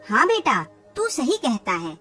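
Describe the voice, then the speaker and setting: Woman acting a very expressive, loving village mother. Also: high voice, measured pace, far from the mic, soft background music, full character voice. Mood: earnest, reassuring. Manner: tender, earnest, expressive. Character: poor, loving, worried mother of a grown son. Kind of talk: cartoon moral-tale dialogue.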